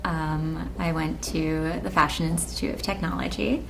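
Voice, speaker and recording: A woman's voice: low voice, fairly even intonation, moderate pace, creaky, a bit bright, a little hiss or hum, low-quality recording. A woman, casually introducing herself in an interview. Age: 20s. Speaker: alumna, consultant.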